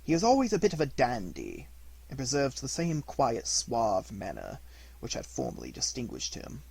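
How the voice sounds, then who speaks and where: A man reading a detective story aloud as an amateur audiobook narrator, in an expressive manner. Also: medium pitch, moderate pace, gravelly, breathy edge, poor audio.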